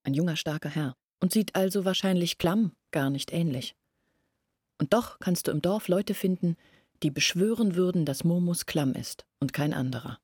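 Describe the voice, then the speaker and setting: An actress playing an anxious, reflective village woman in a literary radio play, earnest and deliberate, with a husky, breathy voice. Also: on the low side, darkish tone, no echo, studio quality. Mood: reflective, sceptical. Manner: earnest, deliberate, searching, questioning herself. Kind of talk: literary radio play acting, long monologues. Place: dry studio.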